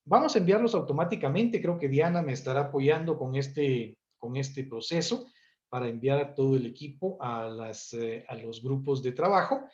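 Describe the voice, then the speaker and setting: A man facilitating an online consultation, politely directing participants and often pausing on fillers. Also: medium pitch, lively intonation, muffled recording. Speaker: meeting facilitator.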